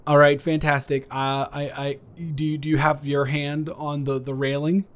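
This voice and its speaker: A man's voice: on the high side, little pitch movement, normal speed, smooth, lightly acted. A man playing a flirty, awkward, kind neighbor in an improvised roleplay audio, stammering, rambling and correcting himself in a casual conversational way. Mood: careful, attentive.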